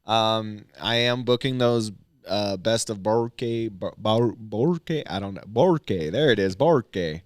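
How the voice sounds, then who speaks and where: A man's voice: on the low side, a little slow, no room sound, clean studio sound. A man, a stand-up comedian and military veteran, chatting loosely as a podcast guest, rambling with lots of fillers and restarts. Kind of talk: casual podcast conversation.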